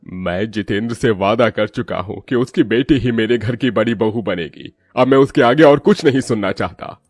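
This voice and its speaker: A man's voice: lowish voice, moderate pace, a little mellow, no echo, clean studio sound, natural voice. A man voicing a fair, protective middle-aged father-in-law in a cartoon story, with a firm, reproving manner. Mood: stern. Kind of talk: Hindi cartoon moral-story voice acting.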